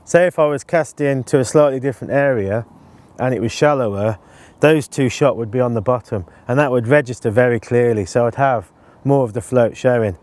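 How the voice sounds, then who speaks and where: A man in his forties explaining his canal fishing setup in a relaxed, casual way, with frequent ums, recorded outdoors on a lapel mic. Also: medium pitch, brisk. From England. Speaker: angling presenter. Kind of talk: casual instructional talk to camera.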